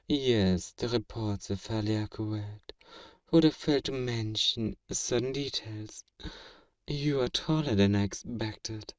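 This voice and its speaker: A man playing a feared fantasy villain in a flirtatious audio roleplay, measured, amused and condescendingly courteous, in an intimate ASMR acting style. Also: mid-range voice, a little mellow, clean studio sound. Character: feared male fantasy villain, suave, teasing, flirtatiously obsessive. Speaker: voice actor performing a roleplay. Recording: roomy echo, distant from the mic.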